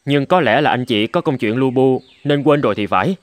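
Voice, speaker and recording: A man voicing an indignant, protective younger brother in an animated drama, emphatic and earnest. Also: medium pitch, brisk, dry recording, natural voice. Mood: polite, probing. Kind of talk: Vietnamese animated drama dubbing.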